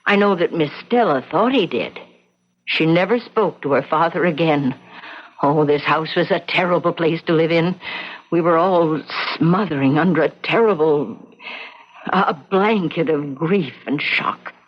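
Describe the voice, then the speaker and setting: A woman playing a grieving, haunted middle-aged housekeeper, telling her tale haltingly and solemnly in an old-time radio drama style. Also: low-pitched. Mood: sorrowful. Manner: halting, sorrowful storytelling. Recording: dull lo-fi radio recording.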